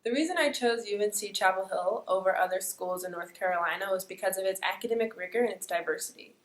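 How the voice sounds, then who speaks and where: A woman in her late teens, a first-year student, introducing herself brightly and briskly. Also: mid-range voice, roomy sound, low-quality recording. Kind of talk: interview answer. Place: indoor room before a campus backdrop.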